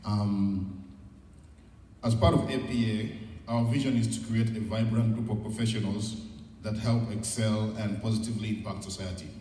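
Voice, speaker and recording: Echoey, low-voiced Ugandan man addressing a hall. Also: mellow. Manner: candid, encouraging, conversational. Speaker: guest speaker at a seminar. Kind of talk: informal motivational public talk. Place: large indoor event room. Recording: echoey hall, distant mic, noisy.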